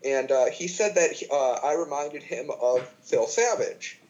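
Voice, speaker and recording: A man's voice: on the high side, expressive, measured pace, a little mellow, dull audio. A man in his twenties, a podcast guest, talking casually with lots of fillers and false starts. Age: 20s. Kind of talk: casual chat.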